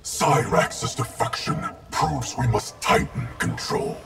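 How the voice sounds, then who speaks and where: A man playing a stern, ruthless warlord in a video game, with a gravelly voice and grave, formal delivery. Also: mid-range voice, takes it slowly. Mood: cold, grave. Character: stern, menacing male warlord, ruthless and commanding. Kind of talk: video game fight intro dialogue. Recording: prominent music behind.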